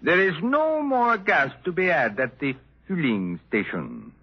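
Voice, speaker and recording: A muffled, rough old radio recording. a haughty, scheming Frenchman with a French accent, theatrical and deliberate, his highish voice swooping wildly up and down. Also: huge pitch swings, heavily acted. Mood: sly, smug. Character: sly, haughty French male race driver. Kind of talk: 1950s radio drama acting.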